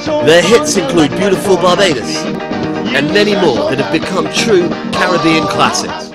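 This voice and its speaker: A man's voice: high voice, very animated, normal speed. A man reading a scripted documentary narration in an upbeat, promotional way. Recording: voice-over with loud band music behind.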